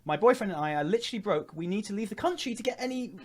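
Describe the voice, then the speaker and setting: A man's voice: high voice, expressive, rapid-fire. A young man hosting his channel, talking casually on a livestream, rambling and joking with many restarts. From England. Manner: rambling, joking, self-interrupting. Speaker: channel host.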